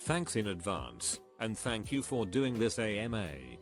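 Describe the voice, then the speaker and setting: A man giving a scripted narration, reading online questions and answers in an even, measured way. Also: fairly low pitch, faint music behind.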